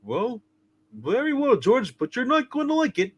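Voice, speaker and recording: Radio play guardian angel: earnest, fussy, extremely high-pitched, very animated. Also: male voice, normal speed, breathy edge, highs cut off, full character voice. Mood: reluctant. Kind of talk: hammed-up live radio play reading.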